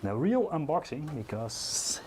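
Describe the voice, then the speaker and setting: A man's voice: on the high side, sing-song, measured pace, darkish tone, a little hiss or hum. A man in his forties co-hosting a livestream, mostly giving short agreeing replies. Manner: brief agreeing replies, chuckles often. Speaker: co-host. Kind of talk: casual livestream chat.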